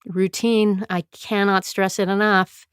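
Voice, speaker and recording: A woman's voice: mid-range voice, little pitch movement, a little slow, smooth, dry recording, studio quality. A woman from Calgary hosting her podcast, talking in a chatty, digressive, self-deprecating way and laughing often. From Calgary, Canada. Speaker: podcast host and performer. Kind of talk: casual solo podcast monologue.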